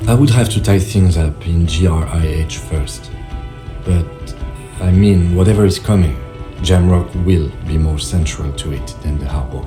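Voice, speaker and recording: An actor playing an earnest, dutiful police lieutenant in a game, speaking in a measured, precise, formal way. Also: male voice, low-pitched. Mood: modest, considering. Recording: music under the voice. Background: music.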